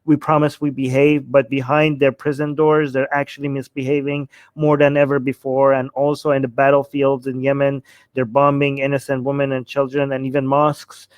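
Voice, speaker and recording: A man's voice: medium pitch, monotone, moderate pace, clear, resonant tone, no echo, highs cut off. A man hosting a panel discussion, speaking emphatically and repeating phrases for stress. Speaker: host of a discussion show.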